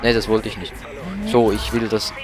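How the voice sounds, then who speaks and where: A man's voice: fairly low pitch, little pitch movement, normal speed. A man giving casual, colloquial gameplay commentary with exclamations as he plays. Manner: colloquial, mildly swearing, exclaims while playing. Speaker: let's play host. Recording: loud music and car noise behind. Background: game music and car engines.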